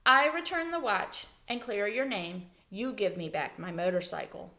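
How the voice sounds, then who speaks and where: A woman's voice: medium pitch, moderate pace, pushed and bright. A woman voicing a bold, impatient little male mouse with an insistent manner in a storybook reading. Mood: businesslike. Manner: insistent, indignant, bargaining.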